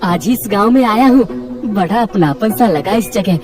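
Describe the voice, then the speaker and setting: Woman as an earnest cartoon village labourer, lively. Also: mid-range voice, moderate pace, far from the mic, music in the background, natural voice. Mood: grateful. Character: earnest, trusting, poor male village labourer.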